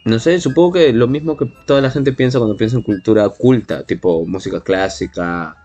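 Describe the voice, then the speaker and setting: A man's voice: on the low side, a little fast, mellow. A man discussing ideas casually as a podcast co-host, argumentative and fond of questions. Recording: music playing behind the voice. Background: background music.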